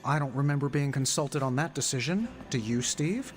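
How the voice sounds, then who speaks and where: An actor playing a sarcastic, quick-witted male tech genius who talks in a lively, wisecracking way. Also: mid-range voice, faint music behind, natural voice. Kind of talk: video game cutscene acting.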